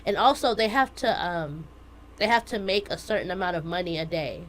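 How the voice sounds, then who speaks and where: A woman's voice: lowish voice, a little fast, slight background noise, low-quality recording. Chatty young woman telling stories. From United States. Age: 20s. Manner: chatty, animated storytelling. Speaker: guest, math teacher. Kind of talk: casual interview chat.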